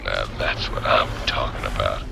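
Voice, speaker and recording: An actor playing a big, cocky, destructive convict marine in a video game, with a gravelly Southern US drawl. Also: male voice, flat delivery, moderate pace, full character voice. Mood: cocky, gleeful. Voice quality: very deep, gravelly. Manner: drawling, swaggering, folksy. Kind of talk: video game character acting. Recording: loud game music behind.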